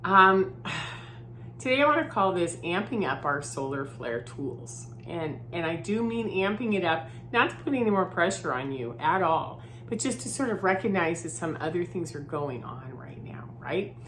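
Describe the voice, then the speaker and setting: A woman's voice: fairly low pitch, very animated, normal speed, fairly bright tone, a little hiss or hum. A woman from Southern California with a head cold and a groggy voice, chatting in a casual vlog from her new home and often tagging "right?" onto her points. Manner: chatty, filler-heavy, often adds "right?". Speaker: vlogger.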